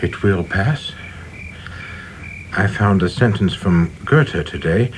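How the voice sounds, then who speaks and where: Stiff ambitious official, dutiful son type, radio play, deep voice, measured, faint bg noise. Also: male voice, lively intonation, poor audio. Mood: weary, resolute. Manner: formal, controlled, measured. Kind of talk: dramatic radio play acting.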